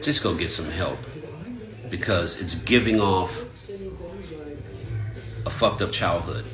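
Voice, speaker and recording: A man's voice: low-pitched, slow pace. A man talking sarcastically and dismissively in a response video. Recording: echoey room with loud music.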